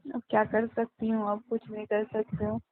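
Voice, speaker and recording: A young woman talks privately over a thin, narrow phone line. Her voice is slightly high and stays flat, with a subdued manner. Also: moderate pace. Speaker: ex-girlfriend on a call. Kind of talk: intimate phone conversation.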